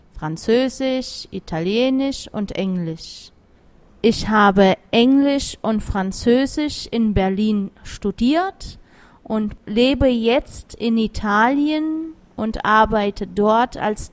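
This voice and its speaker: A middle-aged woman, a language teacher, reading a short scripted self-introduction very slowly and carefully. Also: fairly high pitch. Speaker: German teacher. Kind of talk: scripted monologue for a listening exercise.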